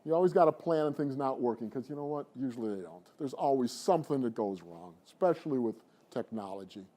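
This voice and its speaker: A middle-aged man coaching public speaking, talking to a class in an animated, conversational way. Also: medium pitch, fast talker. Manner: animated and conversational, addressing the room. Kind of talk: presentation to a class.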